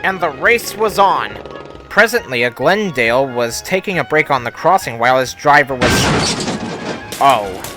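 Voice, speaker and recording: A man reading a scripted children's story as narrator, expressive, with deadpan understatement. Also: medium pitch, normal speed. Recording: music under the voice. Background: music.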